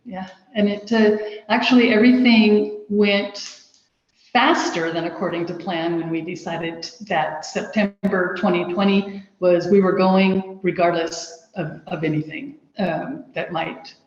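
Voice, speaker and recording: A woman's voice: fairly low pitch, fairly even intonation, measured pace. A woman from the United States answering interview questions in a friendly, conversational way. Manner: friendly, laughs often. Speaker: guest. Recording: echoey dull-sounding room.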